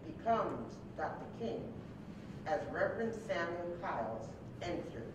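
A woman narrates stage directions from a script. Her voice is on the low side. It comes from far off in a very echoey, noisy hall. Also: big pitch swings, a little slow.

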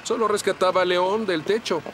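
A woman voicing a calm, friendly adult firefighter in an animated cartoon dub. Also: medium pitch, brisk, heavy background noise, lightly acted.

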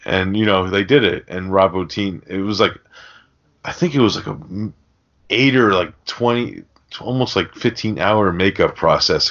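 A man's voice: deep voice, fairly bright tone. A man hosting a podcast, chatting casually about a film in a rambling way with plenty of swearing. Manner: rambling, profane. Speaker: podcast host. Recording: dull, lo-fi audio.

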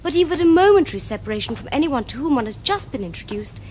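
A woman's voice: sits high, sing-song, fast, a little mellow, a little hiss or hum. An actress playing a prim, romantic young Victorian lady in a period comedy, with precise, formal Received Pronunciation. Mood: prim, eager. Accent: English Received Pronunciation. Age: young adult. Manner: precise, formal, earnest diction. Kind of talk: period stage comedy acting for television.

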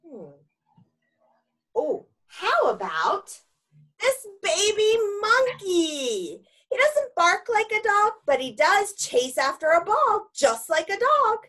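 A woman's voice: very high voice, wildly swooping pitch, slow pace, fairly bright tone, heavily acted. A woman playing an eager, persuasive animal shelter worker in a children's storybook, with coaxing, exaggerated delivery. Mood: bright, coaxing. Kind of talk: theatrical children's storybook read-aloud.

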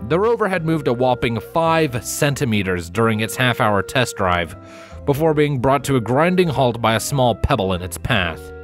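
A man reads scripted fiction as narrator, with lively, wide-ranging intonation. Music plays softly behind him. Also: medium pitch, normal speed.

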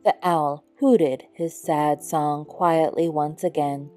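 Slow, mellow woman reading a storybook. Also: low voice, soft background music. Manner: measured, expressive storybook delivery. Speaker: storyteller reading a children's picture book aloud. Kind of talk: scripted read-aloud narration for children.

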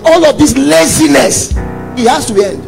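A man's voice: very high voice, animated, moderate pace, vocal fry. A man with a Nigerian accent preaching an impassioned sermon, emphatic and repeating his phrases. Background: background music.

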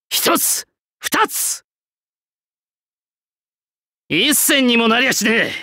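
An actor playing a gruff swordsmith, an old soul in a young man's body, with blunt old-fashioned artisan speech in a game. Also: sits high, pushed and bright, dry recording, clean studio sound, exaggerated voice. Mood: battle-ready, resolute. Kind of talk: Japanese game character voice lines.

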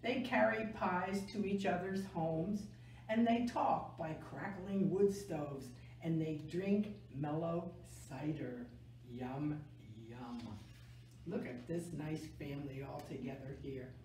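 Slow older woman reading, echoey room. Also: low-pitched, a little mellow. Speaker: library story time reader. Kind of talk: picture book read-aloud for children. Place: small indoor room, seated. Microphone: camera a few metres away. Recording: echoey room, distant mic, faint noise.

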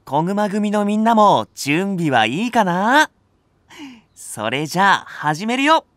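A man's voice: high-pitched, big pitch swings, takes it slowly, fairly bright tone, no echo, exaggerated voice. A man voicing an earnest young rookie nursery teacher in a voice drama, polite and enthusiastic. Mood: eager. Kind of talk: Japanese voice drama acting.

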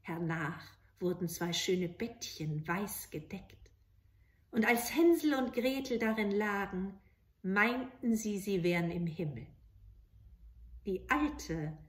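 A woman tells a fairy tale for children. Her delivery is slow and expressive, at a medium pitch. The home room adds noticeable echo. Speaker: storyteller. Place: small living room with bookshelves, indoors. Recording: roomy home recording.

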